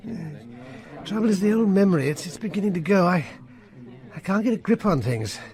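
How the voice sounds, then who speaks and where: A man's voice: high-pitched, lively intonation, fairly quick, a little mellow. An actor playing a jittery, hard-drinking upper-class English military man in a radio drama, with a blustering, stammering manner. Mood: paranoid, rambling. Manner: blustering, stammering, slurred old-fashioned slang.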